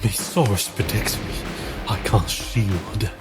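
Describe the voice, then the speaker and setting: A man's voice: on the low side, slow pace, loud music behind the voice. A man speaking as a player in a tabletop game.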